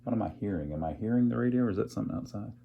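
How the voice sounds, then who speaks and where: A man's voice: fairly low pitch, animated, rapid-fire, creaky, phone-quality sound, a little hiss or hum. A man casually thinking aloud as he narrates a hands-on repair, with a folksy, wry sense of humor. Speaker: amateur radio repairer and video host.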